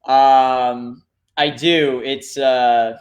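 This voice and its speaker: A man's voice: mid-range voice, steady tone, extremely slow. A man from Florida with an American accent, chatting informally as an interview guest and thinking aloud. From Florida, United States. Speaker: fishing charter captain, interview guest. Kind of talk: casual chat.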